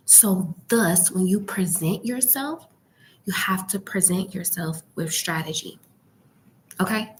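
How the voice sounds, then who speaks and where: Female branding coach, low hushed semi-whisper, unhurried and emphatic, light rumble behind. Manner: emphatic, rhetorical questions and frequent check-ins. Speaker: YouTube branding and e-commerce coach. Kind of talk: informal coaching talk to camera. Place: library.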